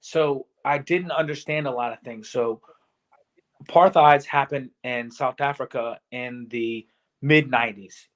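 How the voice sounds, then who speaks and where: A man's voice: medium pitch, measured pace. A man from Virginia in his forties, a podcast guest telling travel stories in casual talk and acting out what people said. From Virginia, USA. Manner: storytelling, animated, acts out quotes. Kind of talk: casual conversation.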